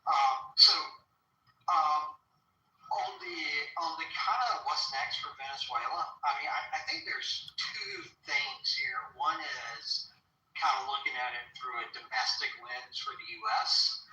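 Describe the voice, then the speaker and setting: A young man talks from his car while driving, hedging and restarting as he sorts out his thoughts. His pitch swings widely and his tone is very bright, and the sound is echoey and set back from the mic. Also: wildly swooping pitch, distant mic. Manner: halting, many fillers and restarts, hedging. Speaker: panel guest. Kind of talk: casual political discussion.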